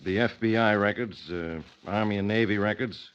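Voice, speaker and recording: Man as a low-voiced, shrewd radio police inspector. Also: smooth, close to an everyday voice. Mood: calm, confident. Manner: brisk, courteous, probing. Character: shrewd, polite, matter-of-fact male police inspector. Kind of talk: old-time radio thriller acting. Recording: muffled vintage radio recording.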